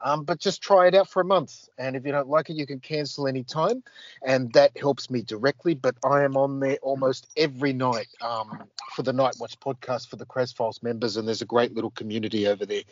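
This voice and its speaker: A man's voice: mid-range voice, fairly even intonation, brisk, no echo. Male podcast host, loose rambling delivery, dull lo-fi dry recording. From Australia. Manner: rambling, many fillers and restarts. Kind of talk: casual podcast chat.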